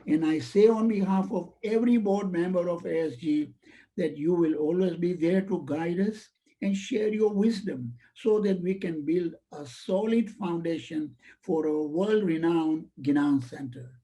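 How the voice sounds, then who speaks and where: A man's voice: high-pitched, measured pace, warm tone, low-quality recording. A man whose first language is Swahili, giving a formal, ceremonious vote of thanks over a webinar call.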